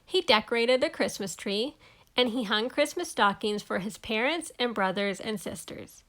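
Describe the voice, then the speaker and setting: A woman narrates a storybook for young children. Her voice sits fairly high, and she reads expressively, as one does in a read-aloud for kids. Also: expressive, moderate pace, fairly bright tone. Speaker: storybook reader.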